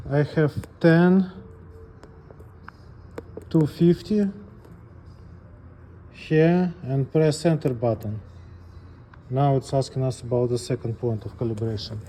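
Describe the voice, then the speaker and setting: Man, slightly high pitch, very soft dark timbre, halting hands-on instruction, faint background noise. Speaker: presenter of a scale calibration tutorial. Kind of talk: unscripted step-by-step technical demonstration.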